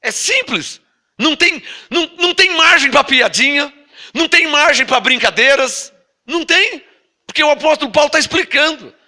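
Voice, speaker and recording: A man with a Brazilian Portuguese accent preaching a Bible-teaching sermon. He reads scripture slowly and drawn out, then explains it emphatically and prods listeners with rhetorical questions. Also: extremely high pitch, expressive, sharp tone. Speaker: apostle preaching to a congregation.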